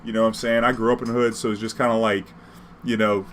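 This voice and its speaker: A man's voice: fairly low pitch, level tone, quick pace, a bit bright, a little hiss or hum. A man from New Orleans with an American accent, telling personal stories casually in profane street slang, acting out the dialogue.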